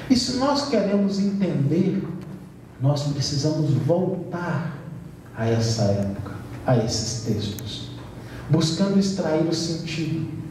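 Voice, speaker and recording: A Brazilian man delivers a spiritist lecture in a measured, emphatic way, now and then easing into light humor. His voice sits high but sounds mellow and soft-edged. He is heard from a distance in a big, echoing event hall with a faint hiss underneath. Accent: Brazilian Portuguese. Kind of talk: lecture to an audience. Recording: roomy hall sound from far off with faint noise.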